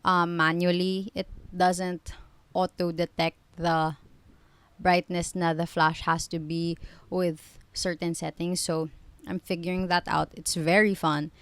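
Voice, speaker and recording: A young woman in her twenties talks in a chatty, rambling way, correcting herself and adding quick asides. Her voice sits a little low and sounds smooth and clear, recorded close and dry with no room echo. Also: little pitch movement. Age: 20s. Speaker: vlogger. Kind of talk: casual chatty vlog.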